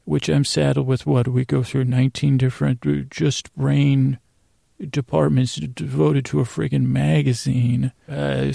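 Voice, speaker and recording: A man hosting a podcast with a rambling bedtime monologue, meandering, halting and circling back on his own sentences. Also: medium pitch, gravelly, dark tone, no room sound, studio quality. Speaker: podcast host.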